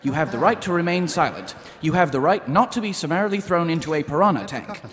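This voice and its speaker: Casting: man as dutiful young constable, formal and literal, highish animated voice, live audio drama in echoing hall. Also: fast, crowd noise, lightly acted. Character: earnest, by-the-book young male constable, dutiful. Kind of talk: live audio theatre acting.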